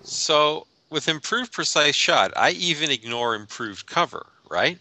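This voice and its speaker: A man's voice: on the high side, expressive, a little slow, bright, forceful tone, dry recording. A man playing a tabletop game over a video call, hesitant as he answers. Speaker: player. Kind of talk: casual tabletop game chat.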